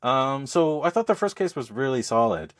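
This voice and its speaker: A man hosting a podcast, giving a casual game review in a halting, conversational way with pauses mid-phrase. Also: medium pitch, sing-song. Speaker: podcast host reviewing games.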